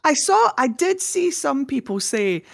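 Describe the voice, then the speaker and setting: A man co-hosting a fan podcast in casual chat, excitable and tripping over his words, with a slightly scratchy voice. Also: extremely high pitch, unhurried, vocal fry. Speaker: podcast co-host.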